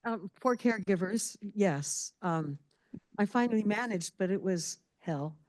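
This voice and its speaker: Loud-voiced woman from the audience. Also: mid-range voice, lively intonation, a little slow, dry recording. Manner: loud, candid. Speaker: audience member, caregiver. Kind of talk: audience comment.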